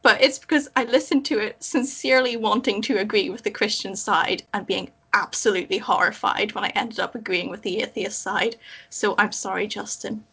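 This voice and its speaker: Podcast guest: Northern Irish woman, husky voice, articulate, candid and wry, on slightly dull lo-fi audio. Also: medium pitch, fairly quick, pushed and bright. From Belfast, Northern Ireland. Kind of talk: conversational personal account.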